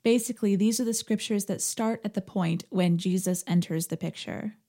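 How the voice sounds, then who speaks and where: A woman's voice: mid-range voice, normal speed, warm tone. A woman hosting a podcast, explaining things in a narrating style. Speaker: podcast host.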